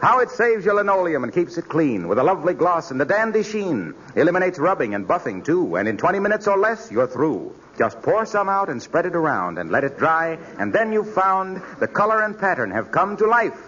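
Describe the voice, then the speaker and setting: Genial earnest announcer. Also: male voice, fairly high pitch, very animated, fairly quick, few pauses, fairly bright tone, husky, full character voice. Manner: earnest, genial, sing-song reading. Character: earnest, cheerful sponsor's announcer neighbor. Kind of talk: 1940s radio comedy acting. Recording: muffled 1940s radio broadcast.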